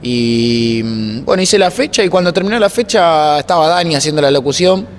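A man's voice: fairly high pitch, expressive, normal speed. A man in his twenties with an Argentine accent, chatting casually as an interview guest into a handheld microphone. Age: 20s. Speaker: interview guest, racing announcer and workshop mechanic. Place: indoor block-walled room at a racetrack. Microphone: handheld interview microphone held toward him. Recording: noisy room, clear ambient background noise.

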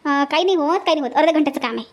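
Woman as a very high-voiced, kindly cartoon village mother. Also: very fast. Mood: concerned, coaxing. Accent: Varhadi Marathi. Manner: earnest, chatty, persuasive. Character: kindly, bustling, reassuring middle-aged village mother. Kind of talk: amateur cartoon comedy dialogue.

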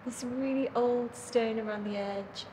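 A woman's voice: a little high, fairly even intonation, a little slow, noisy background. A woman in her forties giving casual, appreciative commentary to a handheld camera indoors as a vlog co-presenter. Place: indoors in a hotel.

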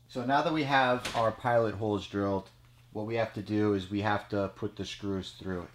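Low-pitched male coach, relaxed how-to tone, recorded on a camera mic in a small echoey room. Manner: casual, explanatory, frequent "okay?" check-ins. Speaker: judo coach. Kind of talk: how-to demonstration. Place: small storage room with mats, indoors.

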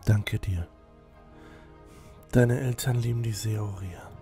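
A man playing a loyal, dutiful young temple novice in an audio drama, earnest and measured. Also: on the low side, fairly even intonation, gravelly, mellow, faint music behind. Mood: supportive, earnest. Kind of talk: acted audio drama dialogue.

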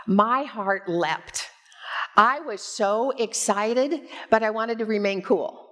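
A woman in her sixties preaching as a pastor, with measured, expressive storytelling, on a headset mic in a church. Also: on the high side. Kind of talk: sermon with personal storytelling. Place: church sanctuary, at a pulpit.

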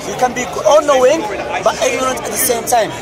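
A man's voice: extremely high pitch, fast talker. A young man, not a native English speaker, questioning insistently step by step in a street debate in a crowded park. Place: crowded city park outdoors. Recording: loud crowd noise outdoors. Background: crowd chatter.

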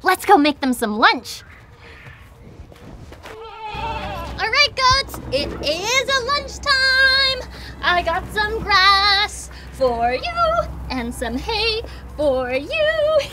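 A woman's voice: very high-pitched, very animated, extremely slow, pauses often. A young woman presenting an educational children's show outdoors at a farm, in an exaggerated, sing-song, exclamatory way. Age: young adult. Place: outdoors at a farm with animal pens.

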